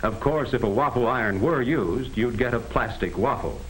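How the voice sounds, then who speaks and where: An American man reading scripted 1940s educational film narration in a measured, explanatory manner on a vintage soundtrack. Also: mid-range voice, expressive, a little hiss or hum, poor audio. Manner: measured, explanatory, addressing the listener directly.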